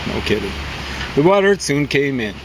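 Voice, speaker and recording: A man's voice: mid-range voice, very animated, moderate pace. A man speaking in a casual, conversational way as he chats and reads poetry aloud. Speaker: poem reader and reviewer. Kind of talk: casual chat and poem reading. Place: outdoors on a deck. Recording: outdoor recording with clear vehicle noise.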